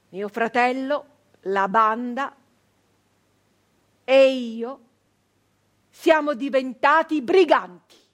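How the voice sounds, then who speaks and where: An actress playing an embittered, wounded brigand woman of the nineteenth century in a theatrical monologue, speaking in halting, emphatic short phrases with a husky voice. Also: sits high, a bit bright, full character voice. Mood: bitter, forceful. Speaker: stage actress.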